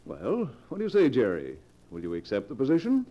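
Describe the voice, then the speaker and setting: An actor playing a genial, trusting, well-to-do American husband in 1940s radio style, courteous and affectionate. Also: male voice, medium pitch, wildly swooping pitch, a little fast. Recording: dull old radio recording.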